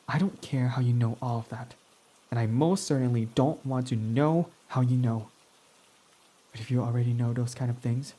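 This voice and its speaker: A man's voice: medium pitch, warm tone, close to an everyday voice. A man playing a sarcastic, snarky villain. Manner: sarcastic, mocking, dismissive. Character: snarky, prickly young male villain. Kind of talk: ASMR roleplay audio.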